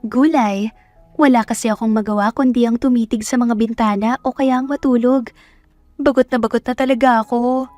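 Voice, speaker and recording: A woman's voice: fairly high pitch, fairly even intonation, normal speed, a little mellow, no echo, faint music behind, close to an everyday voice. A woman voicing a naive, earnest young country woman, polite and plain-spoken, in a romance audio drama. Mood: bored.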